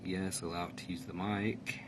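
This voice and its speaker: A man with a very low-pitched voice walks through a setup in a casual, plain way. He sounds like a product reviewer explaining each step, with clear background noise in the room. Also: level tone, normal speed. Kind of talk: casual step-by-step tutorial narration. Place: indoors in a room.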